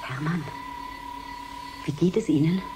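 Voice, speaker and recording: A woman's voice: sits low, measured pace, background noise. A woman playing a concerned scientist in a radio play, speaking in a careful, coaxing, reassuring way.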